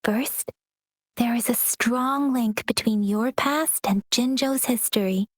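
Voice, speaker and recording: An actress playing a gentle, polite young woman guide, soft-spoken and measured, in a game cutscene. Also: fairly high pitch, dry recording, studio quality. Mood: calm.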